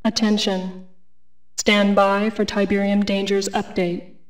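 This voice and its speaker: An actress playing a detached female announcer who reads scripted game bulletins in a clinical, measured, impersonal way. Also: medium pitch, little pitch movement, close to an everyday voice. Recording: distant mic, clearly noisy.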